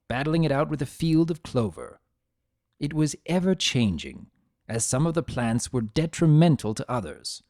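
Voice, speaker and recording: A man voicing a grumpy, possessive, secretly tender chaos creature who narrates his own story in a sardonic, expressive dramatic reading. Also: mid-range voice, no echo, studio quality, lightly acted. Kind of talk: first-person dramatic reading of fan fiction.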